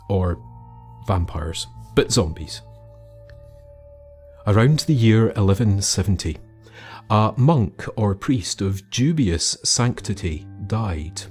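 A man with a low voice narrates folklore as a storyteller. He takes his time, measured and theatrical, leaving dramatic pauses between phrases. Faint music runs beneath his words. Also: darkish tone. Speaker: storyteller and host. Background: music under the voice.

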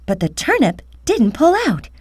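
A woman narrating a children's fairy tale, speaking carefully and deliberately as a storyteller. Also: on the high side, wildly swooping pitch, a little mellow, husky, no echo, clean studio sound. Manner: slow, careful, deliberate enunciation.

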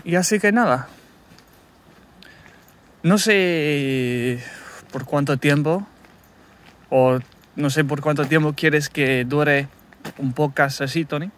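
A man in his thirties from England, his native tongue English, talking to himself in a halting, self-correcting way while walking a wooded path, filming on a phone held at arm's length. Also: a little high, fairly bright tone. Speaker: language learner recording a practice podcast. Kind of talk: casual spoken practice monologue. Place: wooded countryside path outdoors.

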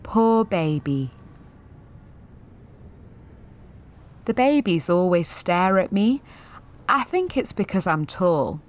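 A woman's voice: on the low side, very animated, measured pace. An actress in her twenties playing a jaded, sweary, sensitive gallery attendant in a confessional audio drama monologue, wry and self-deprecating. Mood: rueful. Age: 20s.

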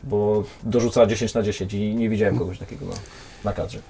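Man, 30s, host, reflective, talkative, dark timbre, small studio. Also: on the low side, fairly even intonation, fairly quick, vocal fry, husky. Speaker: podcast host. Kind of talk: casual interview chat. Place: small studio with brick wall.

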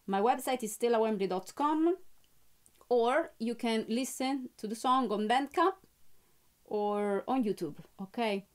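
Chatty young woman with a clear, bright voice. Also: fairly high pitch, measured pace. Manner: chatty, with frequent fillers and restarts. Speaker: musician and channel host. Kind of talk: casual live-stream chat. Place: small home music studio, indoors.

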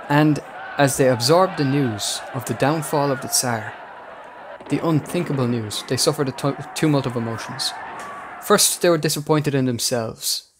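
A man reading a scripted history narration as the host of a podcast. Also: medium pitch.

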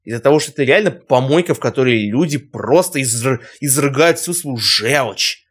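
A man's voice: fairly high pitch, slightly rough. A young man giving casual reaction commentary in an emphatic, exclamatory, informal way. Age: young adult. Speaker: reaction video host. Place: small furnished room at home.

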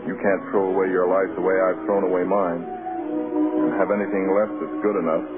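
An actor playing a charming, world-weary film star in an old-time radio drama. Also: male voice, deep voice, level tone, normal speed, lightly acted. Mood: wistful. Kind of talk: 1930s radio drama acting. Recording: tinny old radio recording with music.

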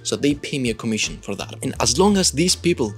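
Male creator, Turkish accent, casual explainer with frequent fillers; mid-pitched voice, faint music under. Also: animated, fast talker. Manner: loose and chatty, frequent "like" fillers. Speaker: content creator. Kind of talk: casual talking-head explainer.

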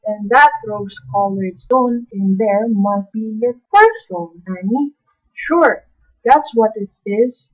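A woman's voice: medium pitch, animated, slow pace, slightly rough. Woman as eager know-it-all friend, dull recording. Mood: proudly explaining. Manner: explaining proudly. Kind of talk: old-time radio play acting by an amateur.

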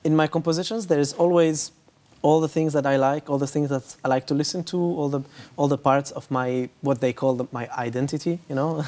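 A man's voice: a little high, fairly even intonation, brisk, few pauses, a little mellow. A man in his thirties, a musician, answering interview questions with many fillers and restarts. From Lebanon. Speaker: musician guest. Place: bright room with big windows, indoors. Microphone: lapel.

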